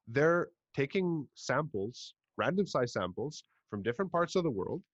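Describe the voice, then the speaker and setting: A young man with a Montreal English accent, hosting a podcast in casual chat, an animated storyteller who swears and puts on voices. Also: medium pitch, a little slow, dry recording, lo-fi sound. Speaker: podcast host.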